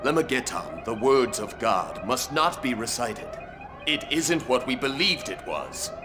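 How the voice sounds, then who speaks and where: A man's voice: mid-range voice, very animated, measured pace. An actor playing a grave, stern old man in a video game, giving solemn warnings.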